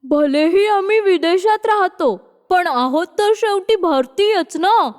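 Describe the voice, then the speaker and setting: Brash rustic mother-in-law for a cartoon tale, played by a woman: very high voice, slow drawl, reverberant. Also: expressive, exaggerated voice. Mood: gushing, folksy. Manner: rustic village speech with repeated catchphrase. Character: brash paan-chewing rustic mother-in-law, middle-aged woman, sly and chatty. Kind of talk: cartoon moral story dialogue. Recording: long reverb on voice.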